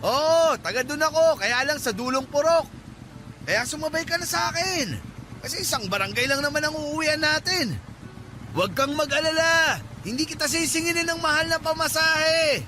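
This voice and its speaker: A man's voice: very high-pitched, normal speed, pushed and bright, a little hiss or hum. An actor playing a cruel male tricycle driver in a radio drama, taunting and threatening with a laughing edge. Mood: coaxing. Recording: music bed behind the voice.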